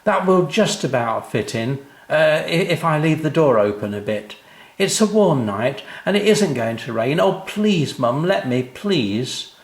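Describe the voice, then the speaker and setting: An eager little storybook boy, acted out by a man, pitched well up from a grown man's voice. He squeals and exclaims with big swoops, in a roomy-sounding recording. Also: lively intonation, unhurried. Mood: pleading. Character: excitable, eager young boy in a children's story.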